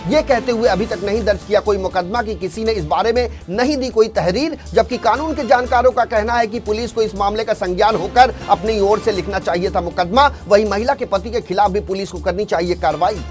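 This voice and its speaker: A man's voice: high-pitched, a little fast, few pauses, faint music behind. A man reading a scripted news bulletin as an anchor, in a formal, emphatic headline delivery.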